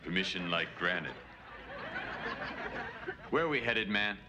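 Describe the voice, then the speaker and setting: Deep-voiced, drawling beatnik starship captain played by a man. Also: expressive, takes it slowly, background noise. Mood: laid-back, spaced out. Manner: hip slang, drawling. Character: laid-back male beatnik starship captain, comic spoof. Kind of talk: comedy sketch parody.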